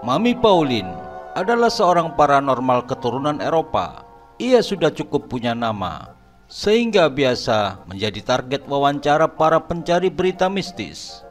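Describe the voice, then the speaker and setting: A man's voice: medium pitch, big pitch swings, moderate pace, faint music behind. A man narrating a scripted horror story.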